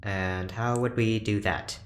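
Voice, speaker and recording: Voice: medium pitch, fairly even intonation, slow pace, lightly acted. An actor playing a reserved, awkward ship's doctor in a sci-fi audio drama, speaking hesitantly and with stiff formality. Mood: cautious. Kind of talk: audio drama acting, naturalistic dialogue. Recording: roomy echo, faint background noise.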